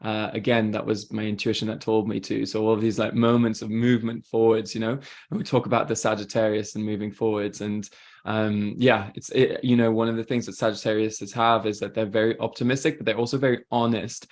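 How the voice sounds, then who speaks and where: A man's voice: on the low side, little pitch movement, brisk, darkish tone. A man with a British accent, a guest talking openly and easily in an interview. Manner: chatty, open, laughs easily. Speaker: guest, spiritual mentor. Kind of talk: casual interview chat.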